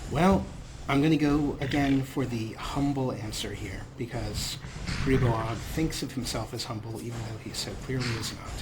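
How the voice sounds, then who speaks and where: A man hosting a video game let's play, giving commentary with wry, joking asides and reading out role-played dialogue choices. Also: medium pitch. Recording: clear background game ambience noise. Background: video game ambience.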